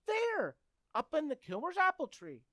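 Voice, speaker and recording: A woman's voice: high-pitched, huge pitch swings, moderate pace, sharp tone, dry recording, clean studio sound, full character voice. A woman playing an eager, coaxing, earnest teenage boy in an old-time radio comedy. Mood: confident.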